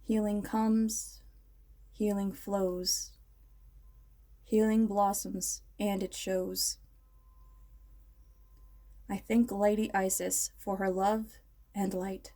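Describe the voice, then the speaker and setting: A woman leading a guided meditation in an ASMR style, speaking in a ceremonial, measured and soothing manner. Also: mid-range voice, flat delivery, dark tone.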